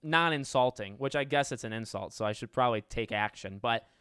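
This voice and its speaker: Raspy guy rambling thru chat questions on his stream, smooth clear tone, dry close mic. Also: medium pitch, brisk, fairly bright tone, no echo. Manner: rambling, self-correcting, answers chat questions aloud. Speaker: livestream host reading viewer questions. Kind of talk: casual livestream Q&A chat.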